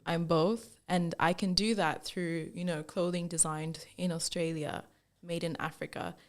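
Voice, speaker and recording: A woman's voice: lowish voice, a little slow. A young woman from Zambia, a fashion brand founder, telling her story in a casual interview with lots of "like"s and acted-out quotes. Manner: animated storyteller, acts out quoted remarks, many "like"s.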